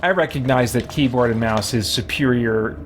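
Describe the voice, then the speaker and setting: A man's voice: mid-range voice, moderate pace. Man chatting over noticeable background noise. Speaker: video game livestream host. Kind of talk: casual gameplay chat, answering viewer questions. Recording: clearly noisy background.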